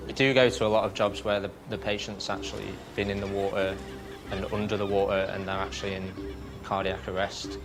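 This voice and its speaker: A male paramedic in an interview, explaining in a measured, plain way in an indoor room. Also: on the low side, little pitch movement. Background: music.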